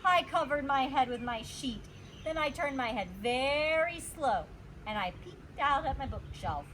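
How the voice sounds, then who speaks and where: A woman reading a children's storybook aloud like a teacher, expressive and emphasizing her words. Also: sits high, a little slow, slight background noise, rough recording. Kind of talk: animated storybook read-aloud.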